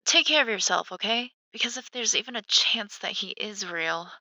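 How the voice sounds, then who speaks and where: A woman's voice: medium pitch, animated, moderate pace, sharp tone, dry recording, low-quality recording. An actress playing a supportive, chatty young woman, a protective best friend, in a naturalistic audio drama. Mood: uneasy, concerned.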